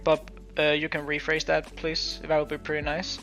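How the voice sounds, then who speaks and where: A Danish man who is not a native English speaker, streaming casual game-dev commentary in a halting way full of restarts. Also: fairly high pitch, monotone, a bit bright, soft background music. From Denmark. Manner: halting, many restarts and fillers. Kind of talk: casual live-stream commentary while coding. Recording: muffled lo-fi stream audio.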